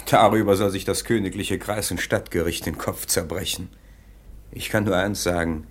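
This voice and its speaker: An actor playing a gruff, impatient nineteenth-century police sergeant in a radio drama, brusque and commanding. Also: male voice, low-pitched, fairly even intonation, moderate pace, natural voice. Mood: official, puzzled. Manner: brusque, commanding, officially clipped. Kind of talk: German radio drama acting.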